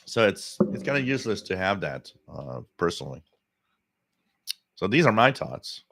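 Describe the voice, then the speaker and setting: A man's voice: low voice, big pitch swings, normal speed, fairly bright tone, dry recording. A French Canadian man whose first language is French, hosting a live radio stream and giving opinions in a rambling, opinionated way with many restarts. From Quebec, Canada. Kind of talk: live stream chat reading viewer comments.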